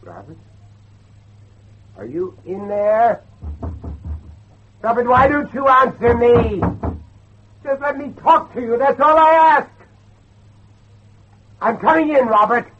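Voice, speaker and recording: An actor playing an anxious, devout middle-aged father searching for his son, pleading and halting in a horror audio drama. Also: extremely high pitch, sing-song, slight background noise, full character voice. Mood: desperate pleading. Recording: dull, muffled lo-fi drama recording.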